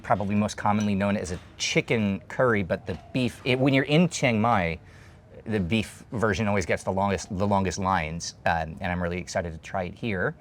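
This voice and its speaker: Chatty man hosting a food show, low voice. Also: moderate pace, a little hiss or hum. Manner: chatty, enthusiastic, explains dishes while eating. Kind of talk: casual food chat and explainer. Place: open-air food stall under a thatched roof. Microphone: lapel mic.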